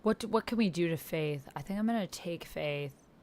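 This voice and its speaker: Woman streamer, kinda low voice, casual slangy chat, thinks out loud, expressive. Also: normal speed. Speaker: YouTuber livestreaming a text roleplay game. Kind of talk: casual livestream chat, reading and reacting. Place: indoors at a computer.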